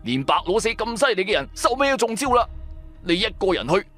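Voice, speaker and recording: A man's voice: high voice, big pitch swings, a little fast, fairly bright tone, soft background music, voice pushed up. A man voicing a brash, loyal, money-loving young sidekick in a Cantonese audio drama, nagging and exclaiming. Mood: indignant.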